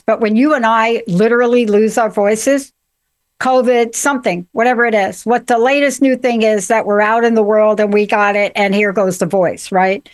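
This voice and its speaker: Brisk woman host, conversational. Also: fairly high pitch. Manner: brisk, conversational, asks questions. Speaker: podcast host. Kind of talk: casual interview chat.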